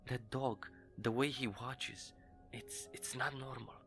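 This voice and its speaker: A man's voice: medium pitch, fairly even intonation, faint music behind, voice pushed up. A man voicing a frightened young mother who whispers nervously into a phone in a horror audio story. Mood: fearful hushed. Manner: hushed, tense, halting whisper.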